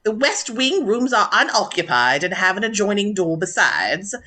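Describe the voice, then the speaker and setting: Woman acting a grand, forceful old baroness. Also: medium pitch, very animated, moderate pace. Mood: imperious. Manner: grand, commanding, formal. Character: imperious elderly ailing baroness and aunt. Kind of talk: radio drama acting.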